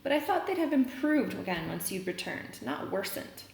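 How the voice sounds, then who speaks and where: A woman's voice: a little high, sing-song, normal speed, gravelly. A woman voicing a dour, suspicious female neighbor in a storybook, stiff and disapproving with insinuating remarks. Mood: scolding. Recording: roomy echo, noticeable background noise.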